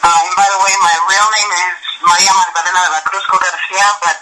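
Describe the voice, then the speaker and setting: A woman's voice: fairly low pitch, little pitch movement, fairly quick. Woman guest on a noisy line. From Mexico. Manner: chatty, rambling with fillers. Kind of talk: interview on a call-in line.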